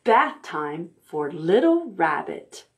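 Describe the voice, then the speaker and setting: A woman's voice: lowish voice, big pitch swings, takes it slowly. A middle-aged woman hosting a toddler storytime, reading aloud and giving instructions in an exaggerated, sing-song way. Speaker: library storytime host for toddlers. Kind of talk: children's storytime, read-aloud and action rhymes. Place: indoor room with stuffed animals and painted backdrop. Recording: roomy echo, music at times.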